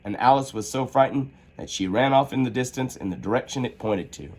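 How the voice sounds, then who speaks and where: A man reading a children's storybook aloud as an expressive storyteller. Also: medium pitch, brisk, poor audio.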